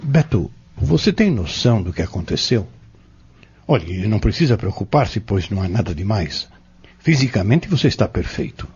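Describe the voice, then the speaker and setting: Husky, earnest male mentor played by an actor. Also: on the low side, big pitch swings, a little mellow, lo-fi sound, heavily acted. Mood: courteous, serious. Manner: courteous, measured, explanatory. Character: earnest, patient male Spiritist mentor explaining doctrine. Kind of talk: audio drama dialogue, didactic.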